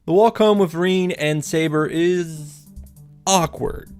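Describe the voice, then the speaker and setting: Higher-voiced man narrating a wry recap. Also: takes it slowly, darkish tone, clear, resonant tone. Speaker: video host recapping a visual novel. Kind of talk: casual scripted recap narration. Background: faint music.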